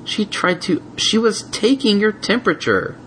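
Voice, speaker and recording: A man's voice: high voice, sing-song, normal speed, a bit bright, slight background noise, voice pushed up, low-quality recording. A man voicing a sulky, grumbling baby boy dragon who whines and complains indignantly in a storybook reading. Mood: sullen.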